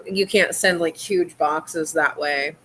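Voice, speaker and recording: A woman's voice: deep voice, steady tone, slow pace, a bit bright, breathy edge, poor audio. Meandering woman chatting late at night. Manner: meandering and hesitant, many fillers and trailing thoughts. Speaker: livestream host. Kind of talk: casual late-night livestream chat. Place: home room lit by fish tanks at night.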